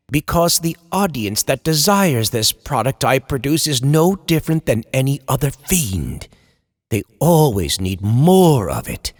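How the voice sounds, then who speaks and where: Man playing an anguished horror writer, slow and echoing. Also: on the high side. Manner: theatrical, eloquent, dramatic confessional monologue. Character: anguished horror writer, a man confessing his descent into madness. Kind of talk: old time radio horror storytelling. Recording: long reverberant hall echo.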